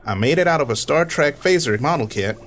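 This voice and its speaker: Nerdy super genius inventor, male actor for comedy radio drama: casual confidence, matter-of-fact, animated intonation. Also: on the high side, fairly quick, a little hiss or hum. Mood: proud explaining.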